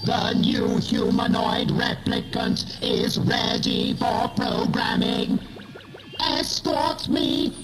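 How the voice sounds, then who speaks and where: Casting: a cold alien war machine, very slow, imperious delivery, echoing sound under loud music. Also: female voice, fairly high pitch. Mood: cold, commanding. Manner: imperious, clipped commands. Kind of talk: science fiction audio drama.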